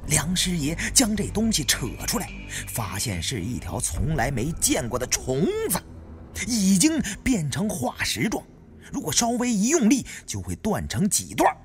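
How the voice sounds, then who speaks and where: A man's voice: a little high, huge pitch swings, measured pace, faint music behind. A man narrating a suspenseful story in a theatrical, dramatic audiobook style.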